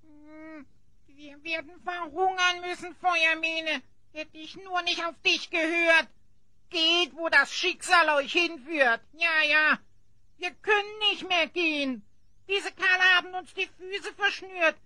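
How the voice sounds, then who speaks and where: An actor playing a small, plucky and whiny elf goblin sidekick with an excitable, grumbling manner in a fantasy audio drama. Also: very high-pitched, takes it slowly, no echo, exaggerated voice. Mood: whining.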